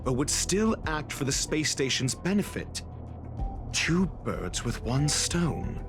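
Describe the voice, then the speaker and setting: An actor playing a haughty, condescending scholar in a video game, questioning people in an articulate, theatrical way. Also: male voice, a little high, very animated, slow, over music. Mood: measured, knowing. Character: haughty male scholar acting as sharp interrogator. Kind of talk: scripted video game voice acting.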